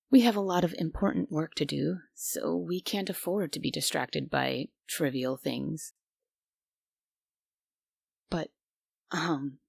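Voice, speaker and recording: Casting: woman to play a prim, bossy female guardian angel for roleplay audio; low, unhurried delivery, secretly kind under the strictness. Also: vocal fry, husky, no echo, studio quality, heavily acted. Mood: shyly pleased. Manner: bossy, prim, grudgingly caring. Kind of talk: immersive roleplay audio drama, ASMR.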